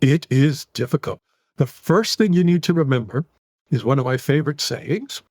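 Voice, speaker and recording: A man in his sixties from the United States with a New York accent, answering podcast interview questions in long, animated run-on sentences. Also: on the high side, normal speed, dry recording, clean studio sound. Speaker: guest psychologist.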